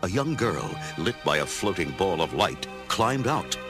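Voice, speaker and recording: A man's voice: sits low, sing-song, a little slow, loud music behind the voice. A man reading dramatic scripted narration for a children's storybook.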